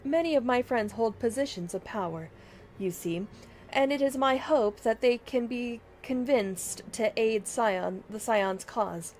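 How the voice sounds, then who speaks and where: A woman's voice: fairly high pitch, measured pace, darkish tone, a little hiss or hum. A woman livestreamer reading fantasy game dialogue aloud in a dramatic way, with game music behind her.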